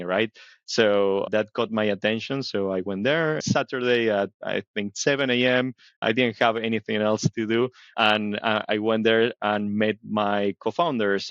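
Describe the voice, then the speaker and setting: A middle-aged man from Argentina speaks in a fairly low voice, explaining his path into biotech in an easy conversational way. The audio is dry and a little dull, like a remote interview recording. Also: fairly bright tone, no echo. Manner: thoughtful, explains with frequent "right?". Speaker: biotech company CEO, guest.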